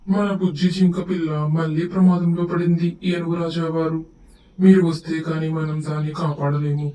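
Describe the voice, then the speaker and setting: A man's voice: a little high, little pitch movement, normal speed, dark tone, lightly acted. A man voicing a caring male monkey in a children's cartoon story, fussing with concern. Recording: distant roomy echo.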